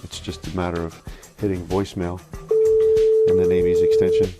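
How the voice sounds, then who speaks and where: A man demonstrating an office product in a casual, halting, think-aloud way, like a hands-on walkthrough. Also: low voice, completely flat delivery, loud music behind the voice. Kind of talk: casual hands-on phone system demo.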